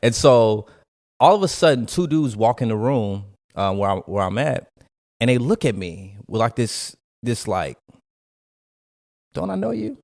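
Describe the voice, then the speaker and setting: A man from co-hosting a podcast, telling stories in a casual, slangy and animated way. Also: medium pitch, a little slow, gravelly. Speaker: podcast co-host and storyteller. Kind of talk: casual conversational storytelling.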